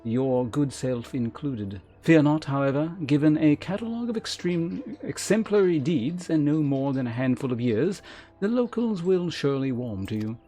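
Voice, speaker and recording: A man voicing a courteous, formal innkeeper who mentors adventurers in a fantasy game, speaking in measured, archaic phrases. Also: on the high side, animated, dark tone, soft background music, voice pushed up. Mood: courteous, welcoming. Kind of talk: read-aloud game character dialogue.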